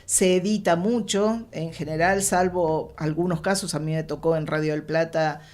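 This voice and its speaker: Woman, Argentine accent, low voice, conversational explaining, detailed and reminiscing. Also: steady tone, moderate pace, darkish tone. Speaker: radio drama writer, guest.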